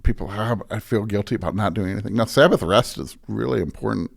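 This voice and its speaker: A man speaking as a pastor in a conversational interview, thinking aloud in halting phrases and often checking in with the listener. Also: lowish voice, gravelly. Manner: halting, restarts thoughts, often checks in with "right?". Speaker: pastor, podcast guest. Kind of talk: conversational reflection on faith.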